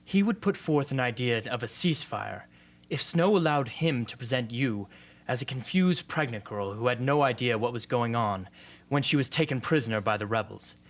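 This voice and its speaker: A man's voice: mid-range voice, animated, normal speed, few pauses, natural voice. A man playing an earnest, defiant young rebel and loyal friend in an amateur radio drama. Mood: grave, intense.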